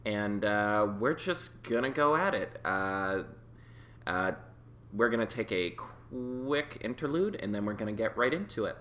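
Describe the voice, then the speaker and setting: A young man co-hosting a podcast, projecting loudly in a playful casual chat, his voice a little worn. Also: lowish voice, lively intonation, measured pace. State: slightly worn voice. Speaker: podcast co-host. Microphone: desktop USB microphone.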